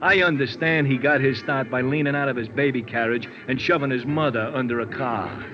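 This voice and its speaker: An actor playing a chatty, streetwise American bartender in a 1950s radio drama, mixing breezy slang with pompous big words. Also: male voice, mid-range voice, fairly quick, lightly acted. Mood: gossipy, knowing. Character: chatty, self-improving hipster male bartender, breezy and streetwise. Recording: dull vintage radio recording with music.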